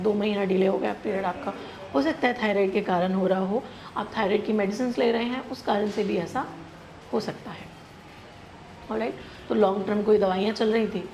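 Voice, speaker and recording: An Indian woman speaks as a doctor hosting a health explainer. Her voice sits at an average pitch, and she addresses the listener directly in an instructive way, mixing in English terms. A faint noise runs behind her. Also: a little mellow, low-quality recording. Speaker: gynecologist presenting a health channel. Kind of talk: explanatory medical advice to camera.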